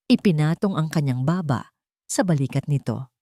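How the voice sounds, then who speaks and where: A woman's voice: low-pitched, lively intonation, moderate pace, mellow, no echo, studio quality. A woman reading a scripted dramatic story as a storyteller. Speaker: storyteller, audio drama narrator.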